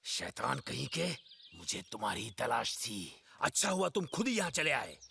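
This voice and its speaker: Blustering, gravelly pirate villain. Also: male voice, medium pitch, sing-song, normal speed, bright, forceful tone, a little hiss or hum, exaggerated voice. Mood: mocking, menacing. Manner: menacing, blustering. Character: villainous blustering pirate chief, vengeful and mocking. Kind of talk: animated cartoon dub acting. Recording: music behind the voice.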